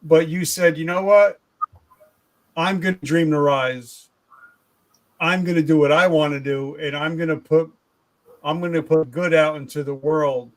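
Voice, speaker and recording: A man's voice: a little high, fairly even intonation, a little slow, pauses often, low-quality recording. A man hosting a podcast, asking questions enthusiastically with frequent ums and restarts. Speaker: podcast host. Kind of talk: interview questions.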